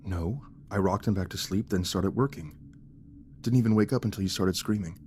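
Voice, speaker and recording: Man acting low, mellow, worn-out young dad. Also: normal speed, slight background noise, natural voice. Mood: defensive, puzzled. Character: exhausted young father and husband, placating, puzzled. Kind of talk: naturalistic audio drama acting.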